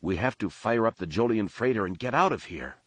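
A man's voice: on the low side, lively intonation, brisk, dry recording. A man voicing a frightened male survivor, out of breath and pleading frantically. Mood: frantic.

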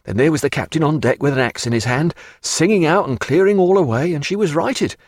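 A man voicing an eager young naval officer in an audiobook, brisk and earnest as he gives orders and reports. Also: on the high side, expressive, no room sound, clean studio sound, pitched above the performer's own voice. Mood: excited. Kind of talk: audiobook character voice.

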